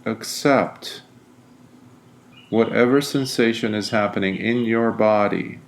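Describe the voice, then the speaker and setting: A man leading a guided meditation in a deliberate, soothing way, pausing often between phrases. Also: lowish voice, creaky. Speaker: meditation guide, Buddhist monk.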